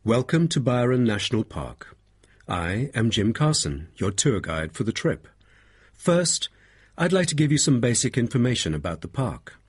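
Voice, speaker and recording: A man playing an informative, welcoming national park tour guide in a measured, scripted talk for a listening test. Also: mid-range voice, sing-song, slightly rough.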